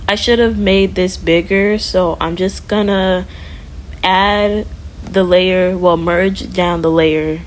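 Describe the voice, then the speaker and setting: A woman's voice: on the low side, fairly even intonation, slow. A woman giving a casual step-by-step tutorial as a voice-over. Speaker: tutorial host. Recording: audible background noise.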